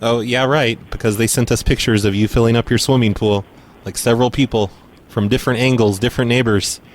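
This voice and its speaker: A man's voice: mid-range voice, steady tone, fairly quick, a little hiss or hum. A man making a prank phone call as a host posing as a utility worker, stammering and accusing in a mock-serious way. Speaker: prank caller, show host.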